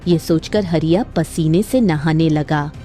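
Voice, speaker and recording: A woman narrates a cartoon moral tale in scripted, dramatic storytelling style. Her voice is mellow and on the low side, with soft music behind it. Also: unhurried.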